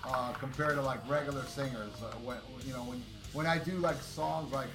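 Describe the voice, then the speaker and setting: A man co-hosting a podcast, talking in a loud, powerful voice in a brash, profane, boastful way. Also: medium pitch, moderate pace, reverberant, music in the background. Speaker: podcast co-host. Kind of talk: casual podcast chat.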